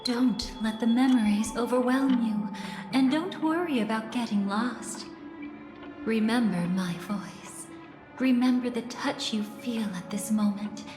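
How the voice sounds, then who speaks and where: Mysterious seductive game guide, soothing and teasing, cavernous echo, music clearly behind. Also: female voice, fairly high pitch. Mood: soothing, coaxing. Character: seductive, mysterious woman guiding someone through memories. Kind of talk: scripted game voice acting, story narration. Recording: echoey reverberant hall, music behind.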